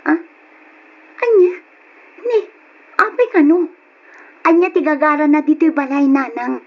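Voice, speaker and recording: A woman's voice: high-pitched, a little slow. An actress playing a young woman, a respectful daughter anxious and in love, in an old-style radio drama. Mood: distressed. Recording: dull, noisy old radio drama recording.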